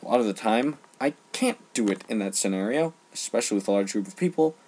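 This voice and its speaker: Male, lowish voice, informal online ranter, emphatic and halting. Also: lively intonation, darkish tone. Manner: emphatic, halting delivery with repeated phrases. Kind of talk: informal opinion rant.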